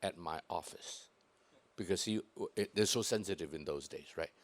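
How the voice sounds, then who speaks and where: A very deep-voiced man hosting a live talk. He jokes at his own expense and speaks with lots of hesitant ums and uhs. His tone is relaxed and chatty. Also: moderate pace. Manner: jovial, self-deprecating, hesitant with many uhs. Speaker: host of the event. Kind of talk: casual event chat.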